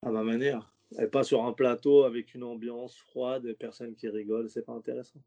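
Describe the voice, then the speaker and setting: A man's voice: mid-range voice, little pitch movement, fairly quick, mellow, dull audio. A man who is a stand-up comedian, talking loosely in a casual interview, slangy and rambling with many restarts. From French suburbs.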